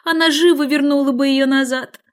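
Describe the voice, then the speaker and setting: An eager, impulsive young storybook girl, voiced by a woman. Her voice is high, and she speaks politely and earnestly, in exclamations. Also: steady tone, fairly quick, natural voice. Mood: excited, proud.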